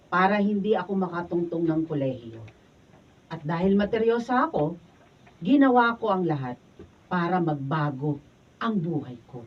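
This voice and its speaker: An actress playing an ambitious woman narrating her own hard life in a Filipino radio drama. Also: deep voice. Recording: dull, distant room recording, faint noise.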